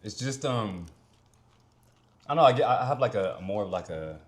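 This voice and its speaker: A man's voice: lowish voice, lively intonation, normal speed. A young man with a Vietnamese-tinged accent, chatting casually as a podcast guest in a laid-back, rambling way. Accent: Vietnamese-tinged English. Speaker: guest content creator.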